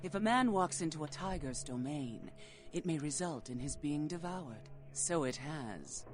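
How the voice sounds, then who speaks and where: Cold flesh-eating vampire lady from a game, super formal and aloof, soft music behind. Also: low voice, animated, measured pace.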